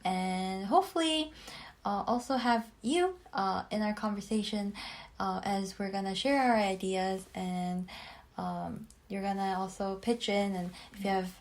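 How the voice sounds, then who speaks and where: Podcast co-host, young woman from South Korea, mid-pitched, unhurried and reflective chat. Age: 20s. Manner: thoughtful, many fillers and restarts. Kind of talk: casual conversational podcast.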